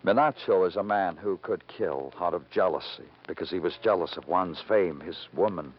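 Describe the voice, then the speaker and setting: A man's voice: deep voice, normal speed, natural voice. An American man playing a hardened, brooding New York homicide detective in a vintage radio crime drama. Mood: pressing. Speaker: lead actor and narrator of a radio crime drama. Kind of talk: 1950s radio crime drama acting, noir narration. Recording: muffled, noisy old radio recording.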